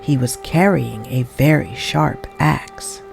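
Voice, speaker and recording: A woman reading a children's story aloud as an expressive storybook narrator. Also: very deep voice, takes it slowly, vocal fry, soft background music. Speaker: storybook reader.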